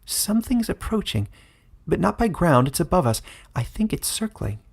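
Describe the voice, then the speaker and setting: A man's voice: high voice, moderate pace, creaky, pitched above the performer's own voice, exaggerated voice. Man doing a chattering furry little forest creature. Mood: alert. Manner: chattering, lively and gentle. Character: cheerful small furry male Bando creature, gentle and wise.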